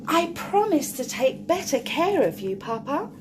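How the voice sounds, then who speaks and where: A woman voicing an excitable, earnest little wooden puppet boy in a storybook, exclaiming his words. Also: high voice, measured pace, background noise, voice pushed up. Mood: earnest, determined.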